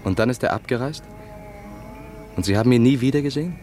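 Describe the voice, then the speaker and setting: An actor playing an opinionated, intense intellectual who scorns convention, speaking formally and emphatically in a radio drama. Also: male voice, medium pitch, quick pace, faint music behind, close to an everyday voice. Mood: scornful. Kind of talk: German radio drama dialogue. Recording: loud outdoor street and traffic noise.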